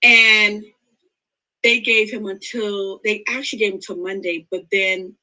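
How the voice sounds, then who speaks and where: A woman in her fifties speaks with a bright, forceful tone, explaining her points with earnest emphasis. She talks as a podcast guest, and her pitch sits in a middle range. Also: steady tone, measured pace, rough recording. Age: 50s. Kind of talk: interview conversation.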